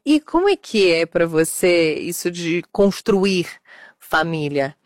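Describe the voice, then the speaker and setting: A woman from Portugal, interviewing in a gentle, thoughtful way with long reflective questions. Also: on the low side, very animated, slow, dry recording, clean studio sound.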